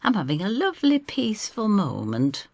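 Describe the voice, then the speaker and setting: A woman giving expressive, theatrical first-person narration of a scripted story. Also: mid-range voice, moderate pace, creaky, no room sound. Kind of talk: scripted dramatic narration in character.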